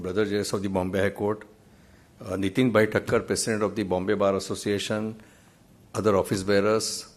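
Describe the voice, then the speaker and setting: Indian English man, 60s, senior judge at the lectern; measured, earnest, wry; podium mic in a big hall. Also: fairly low pitch. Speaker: judge giving a memorial lecture. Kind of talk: formal lecture with anecdotes. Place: large auditorium stage. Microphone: podium microphone.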